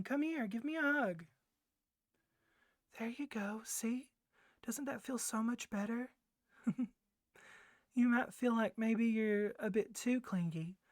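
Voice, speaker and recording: A woman's voice: fairly high pitch, no echo, natural voice. Woman playing a clingy, doting yandere boyfriend, close and dry. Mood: tender, clingy. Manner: gushing, coaxing, rambling with flustered hesitations. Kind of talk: soft ASMR boyfriend roleplay.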